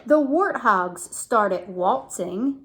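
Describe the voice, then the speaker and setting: A woman reading a children's story aloud as a teacher, pausing to ask questions and explain words. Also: fairly high pitch, lively intonation, fairly bright tone, slight background noise.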